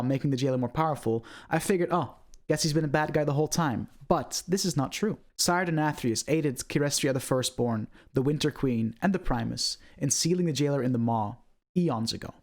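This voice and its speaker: A man's voice: medium pitch, darkish tone. A man hosting an online video, giving scripted commentary on video game lore in a presenter's style.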